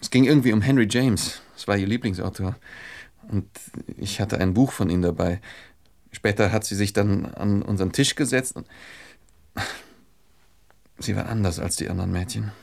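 An actor in a German radio drama playing a shy, heartbroken gentleman who speaks politely and haltingly, holding himself back. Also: lowish voice, vocal fry. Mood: wistful.

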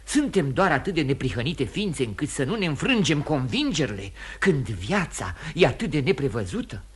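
An actor playing an earnest young gentleman, a grown son in love, urgent and imploring, in a theatrical radio drama. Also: on the high side, very animated, slightly rough, exaggerated voice. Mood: anguished.

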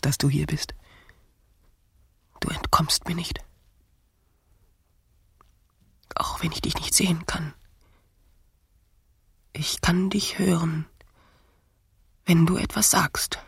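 A female actor playing an exasperated, reproachful bride in a radio play, husky and breathy, insistent and scolding. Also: deep voice, takes it slowly, long pauses, a bit bright, no room sound, in a whisper, clean studio sound, heavily acted. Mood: accusing. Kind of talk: radio play dialogue, often hushed.

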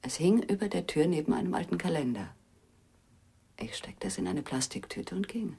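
An actress playing a hushed, anxious woman in a noir radio drama, with a breathy, husky voice. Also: low-pitched, sing-song, normal speed, heavily acted. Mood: hushed, recounting. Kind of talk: German radio drama acting.

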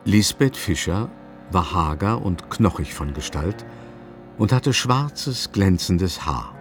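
A man's voice: low voice, lively intonation, slow, faint music behind. A man narrating a literary radio drama as a scripted storyteller.

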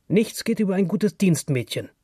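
A man's voice: sits high, lively intonation, normal speed, mellow, breathy edge, no echo, clean studio sound, voice pushed up. Man voicing a cocky, boastful young womanizer. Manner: self-assured, coarse slang. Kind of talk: audiobook character dialogue.

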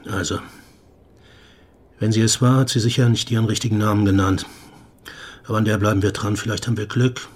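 An actor playing a sceptical, impatient police detective in a radio play, curt and gruff in manner. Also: male voice, on the low side, steady tone, brisk, pauses often, natural voice. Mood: sceptical, resigned. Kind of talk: German radio play acting.

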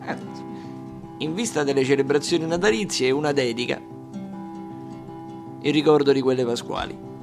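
A man's voice: mid-range voice, normal speed, creaky, pauses often. A man with a Roman accent singing wry, mocking folk verses while playing guitar. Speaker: singer and guitarist.

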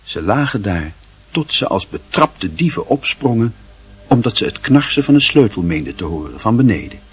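A man narrating a literary radio play in a measured, formal and wry manner, with a husky voice. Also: lowish voice, sing-song, soft background music.

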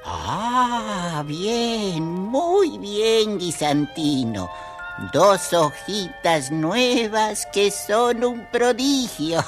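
A woman's voice: medium pitch, animated, very slow, faint music behind. An actress voicing a jolly, motherly sun in a children's audio storybook, playful and teasing. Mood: teasing, affectionate. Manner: playful, teasing, laughing often between phrases. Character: jolly, motherly personified sun cheering on small ones.

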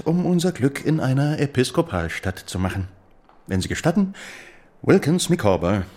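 An actor playing a pompous, debt-ridden middle-aged clerk in a German radio drama, florid in speech with hesitant pauses. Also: male voice, mid-range voice, a little mellow. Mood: grandly effusive.

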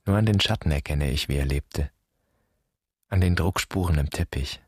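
Grave, embittered man for radio drama; rock-bottom low, husky voice, questioning delivery. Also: normal speed, no room sound, clean studio sound. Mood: mournful. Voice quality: husky, very deep. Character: grave, bitter grown man pondering his dead father. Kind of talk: literary radio drama monologue.

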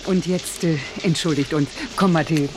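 A woman's voice: low voice, fairly even intonation, moderate pace, a crowd in the background, natural voice, rough recording. An actress playing a formal, guarded mother shielding her daughter in a German radio play, reserved yet theatrical. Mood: guarded, polite.

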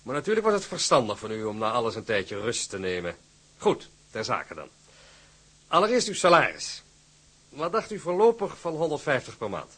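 An actor playing a genial, businesslike company director in a radio drama, formal, courteous and hearty. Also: male voice, medium pitch, wildly swooping pitch, normal speed, pushed and bright, slightly rough, full character voice. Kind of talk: Dutch radio drama acting.

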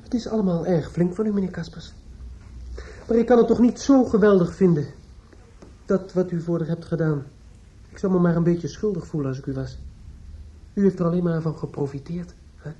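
A man's voice: high-pitched, fairly quick, pauses often, warm tone, close to an everyday voice. An actor in a radio play playing a bitter, grieving younger man, formally polite but pointed and reproachful. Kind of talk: Dutch radio play acting. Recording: dull old radio recording.

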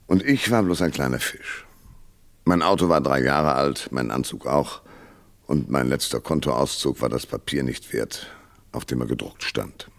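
Hardboiled private eye, extremely low voice, gravelly fry, sardonic, laconic, radio play noir parody. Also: male voice, a little slow, exaggerated voice. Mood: sardonic narrating. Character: cynical wisecracking male hardboiled private detective. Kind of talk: 1970s radio drama noir parody, first-person narration and dialogue.

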